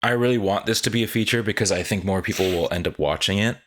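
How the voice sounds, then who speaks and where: A man with a very deep voice thinks aloud about movies. He hedges often with "I think" and "like". He sounds easy and conversational. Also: fast, fairly bright tone. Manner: thoughtful, hedging with "I think" and "like". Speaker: podcast co-host. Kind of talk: casual film discussion.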